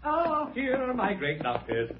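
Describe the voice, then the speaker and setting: Pompous, wildly animated hospital chief. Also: male voice, extremely high pitch, unhurried, noisy background, exaggerated voice. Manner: pompous, effusive introductions. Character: pompous, self-important male hospital chief, fussily formal. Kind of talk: old-time radio comedy-drama acting. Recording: tinny old radio recording.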